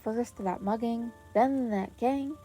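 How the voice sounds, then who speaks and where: A woman reading scripted fiction aloud as an expressive podfic narrator. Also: fairly high pitch, slow pace, breathy edge. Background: background music.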